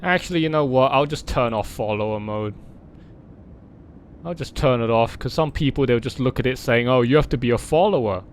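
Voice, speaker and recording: A man's voice: medium pitch, brisk, a little hiss or hum. A man chatting casually on a game livestream, blunt and mocking, swearing often. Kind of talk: casual livestream chat with gaming commentary.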